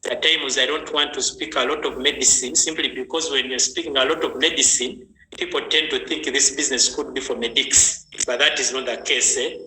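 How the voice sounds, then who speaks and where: A man's voice: mid-range voice, steady tone, a little fast, hardly any pauses, bright, forceful tone. A man hosting an online health training, chatty and greeting viewers by name. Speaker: health session host. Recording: echoey room with faint noise.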